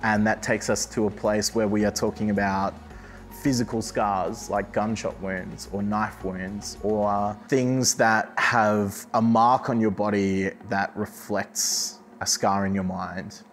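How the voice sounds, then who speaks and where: A man's voice: fairly low pitch, steady tone, takes it slowly. A man, a youth arts director, speaking in a reflective, explanatory documentary interview. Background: soft music.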